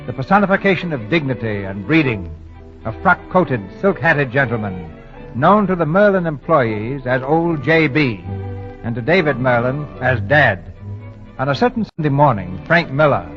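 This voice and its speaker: A male host giving formal, measured narration for a radio drama, on an old 1940 broadcast. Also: medium pitch, muffled recording.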